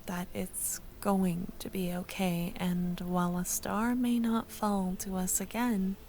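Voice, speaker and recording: A woman playing a tender, wistful young childhood friend in a soft-spoken, breathy ASMR audio roleplay, often close to a whisper. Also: medium pitch, little pitch movement, a little hiss or hum. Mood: sad, wistful. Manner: slow, tender, hesitant.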